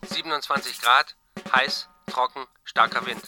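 An actor playing a farm diarist, reciting weather and chores in terse, telegraphic lists in a radio play. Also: male voice, mid-range voice, steady tone, normal speed, bright, forceful tone. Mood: terse, factual. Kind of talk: diary reading in a radio play. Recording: music and drums behind voice.

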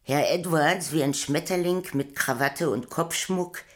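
A woman narrating a poetic radio play from a script in a measured, deliberate way. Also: deep voice.

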